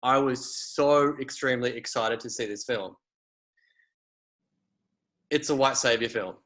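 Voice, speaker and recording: A man's voice: mid-range voice, a little slow, a bit bright. A man, hosting a casual conversation and rambling with many fillers and restarts.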